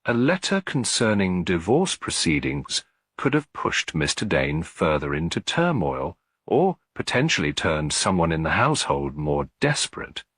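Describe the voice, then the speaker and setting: A man's voice: sits low, very animated, measured pace, a bit bright, slightly rough, no echo, studio quality. A man reading a scripted detective story as an audiobook narrator.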